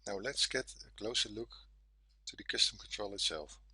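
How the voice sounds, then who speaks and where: A man with a Dutch accent, a native Dutch speaker, giving a software tutorial in careful, simple phrases. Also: on the low side, lively intonation, moderate pace, husky. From Netherlands. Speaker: software developer, guest presenter.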